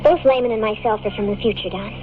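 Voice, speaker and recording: A woman's voice: mid-range voice, fast, lightly acted. An actress playing an earnest young woman in a 1950s radio drama, with an American accent and an intense, pleading delivery. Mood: confessing. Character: earnest, urgent young woman, secret time traveler in love. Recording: tinny, hissy old radio broadcast recording.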